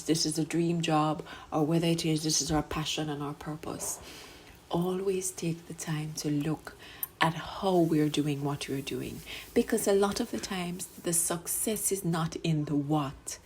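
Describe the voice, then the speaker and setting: A woman giving a gentle, soothing self-care reflection, sometimes dropping to a whisper. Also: deep voice, breathy edge. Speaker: segment presenter.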